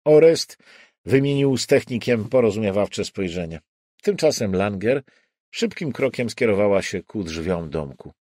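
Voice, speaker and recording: A man's voice: fairly low pitch, a little mellow, no echo, clean studio sound. A man reading a crime novel as an audiobook narrator, in scripted narration.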